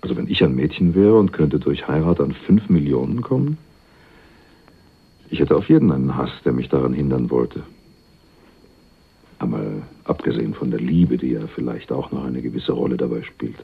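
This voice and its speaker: An actor playing a smooth, wry go-between in a radio crime drama, speaking in a casual, teasing, sardonic way. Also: male voice, very deep voice, animated, normal speed, full character voice. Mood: teasing, probing. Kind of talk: 1980s German radio crime drama acting. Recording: dull, band-limited radio play recording.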